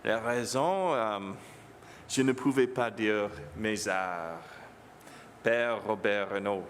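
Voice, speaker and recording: Slow halting priest with English accent. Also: male voice, lowish voice, big-room sound, slight background noise. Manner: halting, slips English words in. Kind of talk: homily with children.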